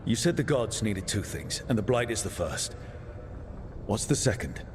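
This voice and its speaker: An actor playing an earnest young elven mage hero in a video game, speaking plainly with an inquiring manner. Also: male voice, lowish voice, sing-song, normal speed, big-room sound, a little hiss or hum. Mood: focused questioning. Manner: plain-spoken, questioning, earnest. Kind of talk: dramatic video game dialogue.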